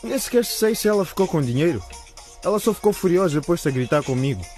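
A man's voice: high voice, expressive, fast talker, a little mellow, faint music behind. A man playing a stressed, lovesick young man in a radio drama, evasive and hesitant. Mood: dejected.

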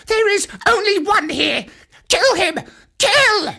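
A reader voicing a mob of boastful, chattering monkeys in a high, shrill chant for an audiobook. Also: sing-song, a little slow, gravelly, a bit bright, full character voice. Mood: frenzied. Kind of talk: audiobook character voice.

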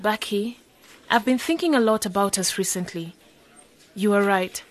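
A woman's voice: medium pitch, normal speed, natural voice. An actress playing a caring, persistent young village woman in a radio drama. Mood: earnest.

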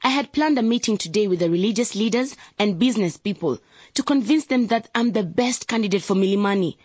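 A husky young female voice for a radio drama. She is a determined, easily exasperated elder sister, earnest and dramatic, at an average pitch in a dry studio. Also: animated, fairly quick, darkish tone, no room sound. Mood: dismayed.

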